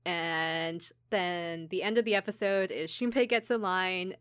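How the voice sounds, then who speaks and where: A woman's voice: lowish voice, unhurried, a bit bright, clear, resonant tone, dry recording, clean studio sound. A woman podcast co-host telling a story in casual chat, informally, with lots of "like" and quoted asides. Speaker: podcast co-host recapping an anime episode. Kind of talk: casual chat, episode recap.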